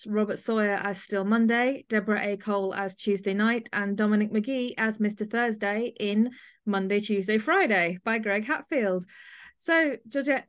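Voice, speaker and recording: A woman hosts an arts podcast in a conversational manner. Her voice sits at a medium pitch. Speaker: podcast host.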